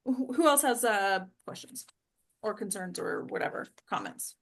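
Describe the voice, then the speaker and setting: A woman's voice: mid-range voice, lively intonation, moderate pace. A woman teaching and hosting an acting class, talking casually to a small group and often checking in with questions. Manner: conversational, peppered with check-in questions like "right?".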